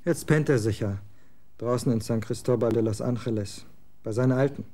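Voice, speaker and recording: Actor for a scornful young rocker son of twenty, blunt slang, gravelly dark voice; radio play. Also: male voice, mid-range voice, natural voice. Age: 20s. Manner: slangy, scornful, quick to get excited. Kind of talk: German radio drama acting.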